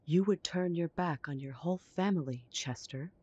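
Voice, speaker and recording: A woman acts out a scornful young werewolf heiress. Her voice is husky and breathy. She talks primly and cuttingly, in audio roleplay style. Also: on the low side, normal speed, warm tone, lo-fi sound. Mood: disdainful. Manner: prim, disdainful, cutting. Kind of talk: audio roleplay drama acting.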